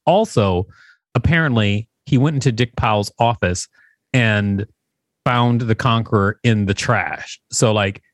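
A man's voice: medium pitch, no echo. A man co-hosting a podcast, reading reviews aloud and joking between them, laughing often and slipping into comic impressions. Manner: playful, laughs often, mimics people in comic asides. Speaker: podcast co-host. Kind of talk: reading aloud and casual commentary.

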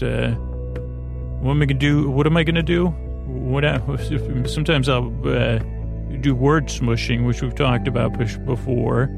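A man hosting a sleep podcast, talking in a meandering, halting, whimsical way with a creaky voice. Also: mid-range voice. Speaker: podcast host. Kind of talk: rambling bedtime sleep podcast monologue.

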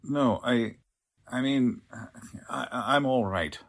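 A man acting a mild, well-meaning, easily flustered doctor in a 1940s-style radio drama, husky and hesitant. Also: mid-range voice, slow. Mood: dazed, shaken.

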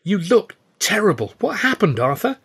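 Man putting on an agitated, high-pitched fretful friend. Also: very animated, normal speed, fairly bright tone, slightly rough, heavily acted. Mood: alarmed. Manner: urgent, exclaiming, fretful.

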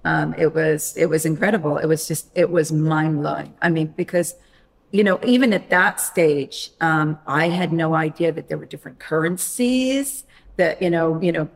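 A middle-aged woman from Maine, a podcast guest telling her life story in a casual interview, animated, with quick laughs and frequent restarts. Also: sits low, gravelly. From Maine, USA. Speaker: podcast guest, wine critic.

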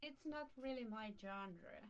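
A woman's voice: fairly high pitch, measured pace, smooth, highs cut off. A woman chatting casually as a podcast guest, giving brief, hesitant answers. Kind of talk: casual chat.

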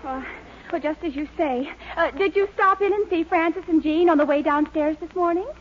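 A woman's voice: very high-pitched, moderate pace. A woman playing a sweet, patient young wife in a 1940s radio comedy, genteel and coaxing with an anxious edge. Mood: flustered. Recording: muffled noisy vintage radio, audience behind.

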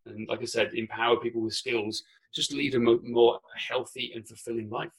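A man's voice: medium pitch, level tone, normal speed, creaky, husky, rough recording. A Welsh man whose first language is Welsh, a podcast guest chatting casually from a spare room at home over a remote call, often restarting his words. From Wales. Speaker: podcast guest, company founder. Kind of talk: casual interview chat.

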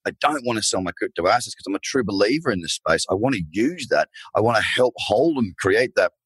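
A man's voice: lowish voice, lively intonation, brisk, fairly bright tone, slightly rough, dry recording. A man hosting an interview show, chatty and enthusiastic, calling his guest "mate". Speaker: podcast host. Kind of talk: casual interview.

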